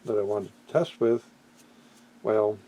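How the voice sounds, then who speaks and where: Mellow-voiced man, halting and musing aloud. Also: fairly low pitch. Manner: halting, musing aloud, trailing off mid-thought. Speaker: hobbyist video presenter. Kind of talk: casual unscripted product walkthrough.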